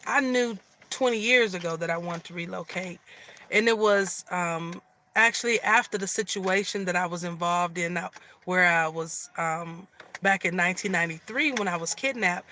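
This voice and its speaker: A middle-aged woman from Michigan with a slightly raspy voice, chatting casually on a live stream and often restarting her sentences. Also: mid-range voice, sharp tone, a little hiss or hum. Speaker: vlogger.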